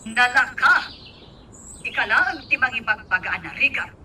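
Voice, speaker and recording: A woman's voice: fairly high pitch, animated, measured pace, bright, forceful tone, full character voice. An actress playing a stubborn, scheming middle-aged mother in an Ilocano radio drama. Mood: insistent, impatient. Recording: distant mic, faint background noise.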